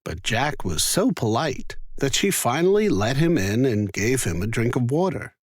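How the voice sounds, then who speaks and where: A man narrating a scripted children's fairy tale in an expressive, careful storytelling manner. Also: mid-range voice, normal speed, dry recording, clean studio sound.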